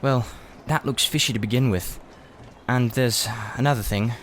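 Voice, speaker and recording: A man's voice: medium pitch, fairly bright tone, slight background noise, natural voice. A man voicing a miserable, blunt young boy in a storybook, blurting out his words in a rush. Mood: secretive, wary. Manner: breathless, rushed, blurting complaints.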